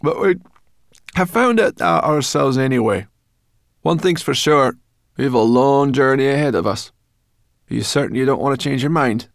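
A man voicing a brash, excitable, bossy female furry creature in a storybook, loud and exclaiming. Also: medium pitch, normal speed, long pauses, no echo, studio quality, pitched above the performer's own voice, lightly acted. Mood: brash, casual.

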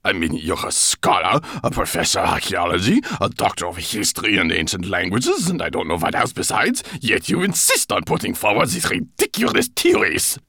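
A man's voice: low voice, wildly swooping pitch, moderate pace, gravelly, few pauses, sharp tone, no echo, clean studio sound, exaggerated voice. A man reading an audiobook voices a pompous, portly older male professor with a haughty, condescending manner. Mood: scornful. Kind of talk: audiobook character voice.

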